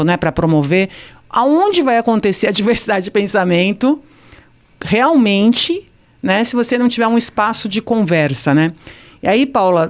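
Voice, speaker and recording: Smooth-voiced woman interviewer. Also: lowish voice. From Santos, Brazil. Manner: discursive, peppers speech with tag questions. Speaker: podcast host. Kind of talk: conversational interview questions.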